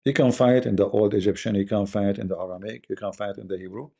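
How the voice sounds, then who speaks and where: Blunt, scornful streamer, male, Arabic accent, dark gravelly voice. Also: fairly low pitch, fairly even intonation, racing. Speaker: host. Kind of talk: live debate stream talk.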